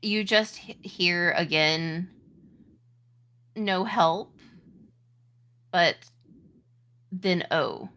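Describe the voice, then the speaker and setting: Bright-voiced, slow-paced woman game master. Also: fairly low pitch. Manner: halting, searching for words, repeats "like". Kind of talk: improvised narration of a tabletop game.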